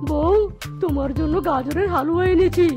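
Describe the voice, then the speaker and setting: A woman's voice: high voice, moderate pace, lightly acted. A woman voicing a put-upon male fox husband in a comic cartoon, whiny and emphatic. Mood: coaxing. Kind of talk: exaggerated comic cartoon dubbing. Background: music.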